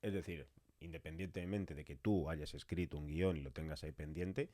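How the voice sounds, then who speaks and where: Man, very low voice, podcast interviewer, casual colloquial phrasing, dry close recording. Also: moderate pace, mellow, no echo.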